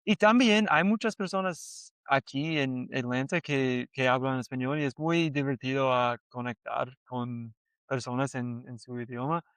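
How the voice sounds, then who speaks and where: A man speaking learner Spanish at an unhurried pace. His voice is clear and clean, and the sound is dry and close. He talks hesitantly, restarts often and fills gaps with quick yeses. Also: medium pitch, a bit bright, dry recording. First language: English. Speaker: podcast co-host. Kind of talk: casual conversation in learner Spanish.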